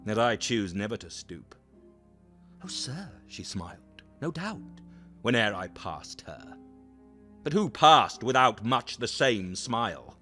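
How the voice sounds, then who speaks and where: A man performs a proud aristocratic duke in an audio drama, coldly menacing and eaten by jealousy. The verse comes slowly and deliberately, in a sharp, forceful tone that swings widely in pitch. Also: very animated, soft background music, exaggerated voice. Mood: indignant, bitter. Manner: haughty, deliberate, emphatic.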